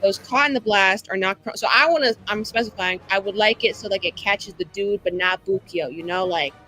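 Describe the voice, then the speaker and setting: A woman's voice: mid-range voice, fairly quick, bright, forceful tone, faint music behind, rough recording. A woman playing a mage in a tabletop game, chatting casually and swearing freely. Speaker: player.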